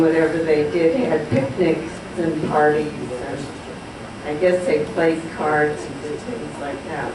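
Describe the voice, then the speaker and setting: An older woman from the audience recalling where she grew up, with halting uhs. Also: low voice. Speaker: audience member sharing memories. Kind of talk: casual recollection. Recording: echoey hall, distant mic, noisy.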